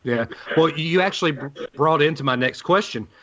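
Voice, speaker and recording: A man's voice: a little high, moderate pace, bright, forceful tone, lo-fi sound. A man hosting a sports podcast, casually putting questions to his guest. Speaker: sports podcast host, interviewer.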